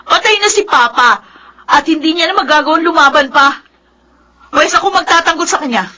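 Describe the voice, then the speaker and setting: Radio drama daughter: high-pitched, forceful, grieving, combative. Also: female voice, expressive, normal speed. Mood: defiant. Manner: confrontational, emotional. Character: grieving, defiant daughter fiercely defending her dead father. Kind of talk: Filipino radio melodrama acting.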